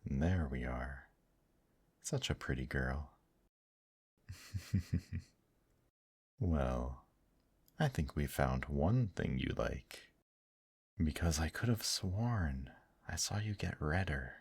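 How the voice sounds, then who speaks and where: A man voicing a gentle, teasing male demon in an intimate ASMR roleplay, speaking softly and courteously to the listener. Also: a bass voice, expressive, vocal fry, slightly rough, dry recording, studio quality, exaggerated voice. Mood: playful teasing. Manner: slow, soft, courteous, affectionate teasing with endearments. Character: gentle, teasing male demon brothel worker, tender and attentive.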